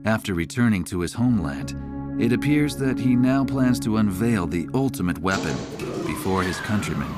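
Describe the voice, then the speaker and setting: An actor playing a reflective, world-weary war reporter who narrates a game's story in measured, literary phrases. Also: male voice, lowish voice, lively intonation, lightly acted. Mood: reflective, grave. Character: male war correspondent, reflective and world-weary, recounting events. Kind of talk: scripted first-person game story narration. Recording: music behind the voice. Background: music.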